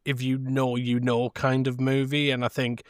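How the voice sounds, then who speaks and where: A man's voice: mid-range voice, monotone, moderate pace, clear, resonant tone, no room sound, clean studio sound. A man co-hosting a film podcast, chatting in an understated, informal way. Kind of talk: casual film chat.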